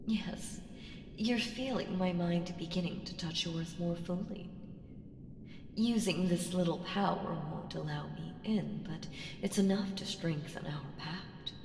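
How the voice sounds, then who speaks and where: A woman playing an ancient evil entity in an ASMR audio roleplay, coaxing and condescending, commanding and seductive, with deliberate delivery that slips into whispers. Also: lowish voice, a little mellow. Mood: knowing, possessive. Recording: roomy long reverb with clear background noise.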